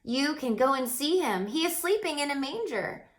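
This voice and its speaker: A woman voicing a bright, shining male angel who proclaims joyful news in a reassuring, exuberant way for a storybook. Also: high-pitched, animated, normal speed, pitched above the performer's own voice.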